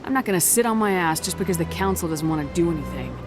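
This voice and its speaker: A woman's voice: lowish voice, lively intonation, fast, natural voice. A woman playing a blunt female commander. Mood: curt. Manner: blunt, curt. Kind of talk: video game voice acting. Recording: game audio over music.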